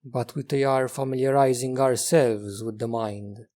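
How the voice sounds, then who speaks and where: Man, meditation teacher, very slow pacing, warm relaxed tone, mid-range pitch, gentle delivery, long pauses. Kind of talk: guided meditation.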